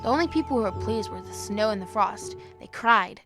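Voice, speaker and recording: Woman acting a gentle storybook reader over music. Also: mid-range voice, animated, fairly quick, lightly acted. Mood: earnest storytelling. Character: hesitant, gentle young woman reading a fairy tale aloud. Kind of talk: storybook narration in an audio drama.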